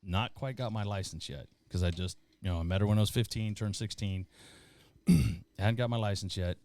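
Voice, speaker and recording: A man with a lowish voice tells stories with eager, slangy energy, throwing in dude and bro as he goes. It sounds like relaxed talk among friends. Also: moderate pace. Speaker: podcast guest. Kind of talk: casual storytelling chat.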